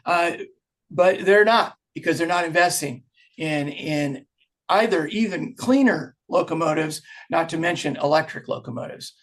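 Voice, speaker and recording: A man's voice: on the high side, moderate pace, fairly bright tone. A man moderating a webinar panel, thanking one speaker and introducing the next.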